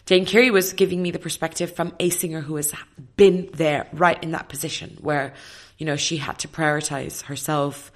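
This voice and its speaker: A woman in her thirties with a low, slightly husky voice. She speaks as an opera singer being interviewed. Her storytelling is reflective and animated, full of fillers and restarts. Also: moderate pace, few pauses. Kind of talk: casual interview chat.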